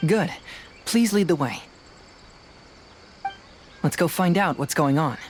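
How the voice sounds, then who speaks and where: Young male game detective, composed, analytical, deliberate phrasing, faint background noise. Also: fairly high pitch, lively intonation. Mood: friendly, composed. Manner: deliberate, reasoning aloud. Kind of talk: video game voice acting.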